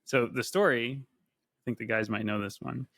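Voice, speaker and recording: Gravelly-voiced man telling a story. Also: medium pitch, normal speed, dry recording, clean studio sound. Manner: animated, candid, swears freely. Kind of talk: casual storytelling.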